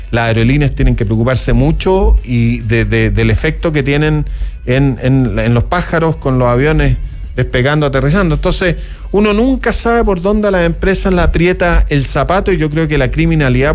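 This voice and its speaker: Man, radio host, fairly high voice, rambling asides, music playing faintly underneath. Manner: rambling, many asides and restarts. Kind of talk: casual radio interview.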